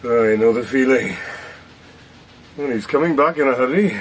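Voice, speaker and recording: An actor playing a nosy, wry night worker in a radio drama, with terse, colloquial speech. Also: male voice, mid-range voice, moderate pace, slight background noise, natural voice, poor audio.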